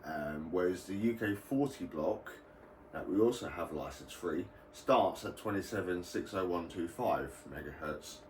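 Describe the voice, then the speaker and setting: A man's voice: low-pitched, a little slow, darkish tone. A British man giving a casual, rambling explainer as the host of a hobby video channel. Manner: informal, rambling. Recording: roomy, distant mic, faint background noise.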